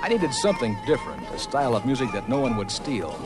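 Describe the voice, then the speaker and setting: An actor playing a wry private eye, narrating a hard-boiled detective parody with deadpan setups on an old comedy record. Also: male voice, mid-range voice, fast. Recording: old comedy record, loud brassy music.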